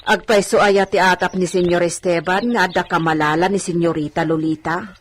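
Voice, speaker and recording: A woman's voice: on the low side, steady tone, brisk, a little hiss or hum, close to an everyday voice. A woman playing an anxious, persistent older village servant in a radio drama, earnest and coaxing. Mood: anxious, urging.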